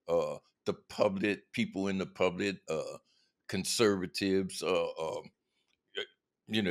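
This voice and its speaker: Male interviewer, low voice. Conversational, halting delivery, feels his way into each question. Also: a bit bright. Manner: halting, repeats words as he frames questions. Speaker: interviewer, host.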